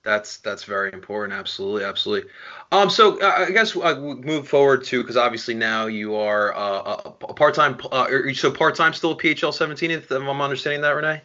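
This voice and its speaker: A man's voice: mid-range voice, fast, pushed and bright, muffled recording. An American man with a mid-range voice hosts a casual sports podcast. He is upbeat and effusive, talking informally like one fan to another. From South Jersey, United States. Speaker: podcast host and interviewer.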